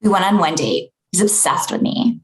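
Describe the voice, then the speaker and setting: A woman's voice: mid-range voice, very animated, moderate pace, poor audio. Woman as blunt, dismissive young elf actress. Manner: dry, dismissive. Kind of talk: comedy table read.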